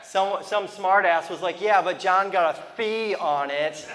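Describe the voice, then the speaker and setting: Lisping thirty-something stand-up comic. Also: male voice, high voice, a bit bright, in a large hall. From Toledo, Ohio, US. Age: 30s. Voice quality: lisp. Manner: loose, rambling delivery with quick asides to the crowd. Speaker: stand-up comedian. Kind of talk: stand-up comedy set. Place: comedy venue with a live audience.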